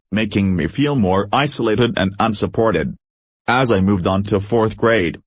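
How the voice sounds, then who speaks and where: Low-voiced man narrating a story. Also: no room sound. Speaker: story reader. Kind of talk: scripted narration of online posts.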